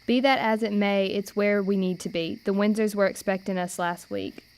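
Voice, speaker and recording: A clear, smooth voice for a plucky, determined girl, played by a young woman. She is plain-spoken and folksy, in the style of an amateur radio play. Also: mid-range voice, fairly even intonation, moderate pace, natural voice. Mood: firm. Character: plucky, determined red-haired girl, older sister.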